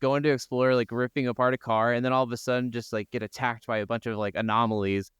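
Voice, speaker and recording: A man's voice: medium pitch, level tone, fast, fairly bright tone, clean tone, no echo. A man co-hosting a gaming podcast, talking casually and informally with some swearing. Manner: informal, profane. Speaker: podcast co-host.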